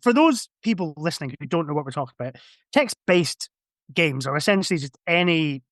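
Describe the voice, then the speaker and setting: A man's voice: fairly high pitch, animated, brisk, fairly bright tone, dry recording, studio quality. A man chatting casually as a podcast guest, giving rambling, conversational explanations. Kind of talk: casual chat.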